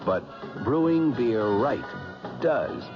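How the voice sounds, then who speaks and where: A man announcing a vintage radio commercial as a conversational sales pitch. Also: lively intonation, slow. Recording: muffled old radio ad, music behind. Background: music.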